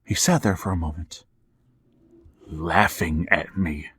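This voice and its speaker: An actor playing an aging father in a wheelchair, narrating a radio horror drama in a slow, halting, hushed manner. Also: low-pitched, slight background noise. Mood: haunted. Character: older man father in a wheelchair, haunted, resigned narrator. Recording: music bed under the voice.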